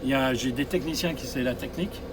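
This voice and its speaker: A man's voice: mid-range voice, fast, background noise. A man explaining the controls of a coach to a group of drivers, practical and repeating his advice. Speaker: driving instructor presenting a coach. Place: inside a coach, outdoors nearby.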